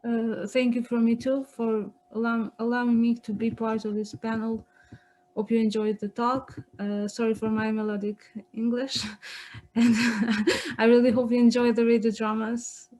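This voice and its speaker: A woman's voice: on the high side, little pitch movement, normal speed, a little mellow, low-quality recording. A woman, a playwright, speaking informally on an online panel. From Kosovo.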